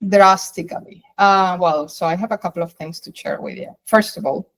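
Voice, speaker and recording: A woman's voice: fairly low pitch, normal speed. A middle-aged woman from Colombia, a native Spanish speaker with a Colombian accent, giving long emphatic answers as an interview guest. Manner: emphatic, explains in long flowing runs with rephrasings. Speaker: guest, data science executive.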